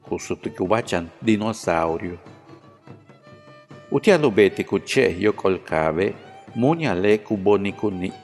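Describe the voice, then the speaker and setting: A man from Yucatán whose first language is Yucatec Maya, reading his poems in a calm, measured way and introducing himself. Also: on the low side, faint music behind. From Yucatán, Mexico. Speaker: poet and teacher. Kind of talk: slow poetry reading.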